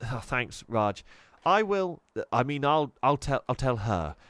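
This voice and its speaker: A man's voice: mid-range voice, very animated, measured pace, a bit bright, clear, resonant tone, no room sound, voice pushed up. A man voicing a nervous young schoolgirl who stammers and hesitates, in a dry recording. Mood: nervous girlish.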